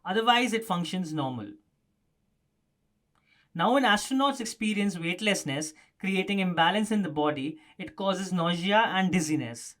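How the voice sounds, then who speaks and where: A man's voice: high-pitched, expressive, normal speed, fairly bright tone. A man presenting a scripted explanatory news story as a narrator.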